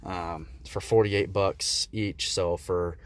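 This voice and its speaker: A man giving a casual, unscripted product review in a laid-back, hesitant way, with frequent pauses and ums. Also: sits low, fairly even intonation. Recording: faint background noise.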